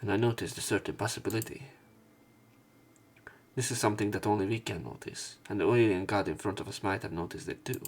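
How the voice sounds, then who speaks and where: Low-voiced man reading a story aloud. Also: fairly quick. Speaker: let's play narrator. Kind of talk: reading visual novel narration aloud.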